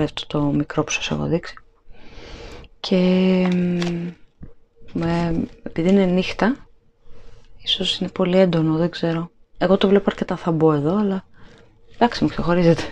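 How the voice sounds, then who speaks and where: A woman's voice: lowish voice, a little mellow, slight background noise. A woman in her thirties chatting casually to the camera in her home kitchen at night, rambling with plenty of fillers and restarts. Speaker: vlogger. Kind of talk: casual haul vlog chat.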